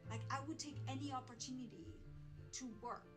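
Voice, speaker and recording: A woman's voice: high voice, fairly even intonation, brisk, over music. A woman telling her own story in a documentary interview. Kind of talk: personal account in an interview.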